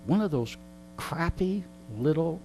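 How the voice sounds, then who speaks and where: A man's voice: on the high side, lively intonation, unhurried, a little mellow. A middle-aged or older man telling casual anecdotes in a wry, joking, digressive way. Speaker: interview guest and storyteller. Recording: clear background mains hum.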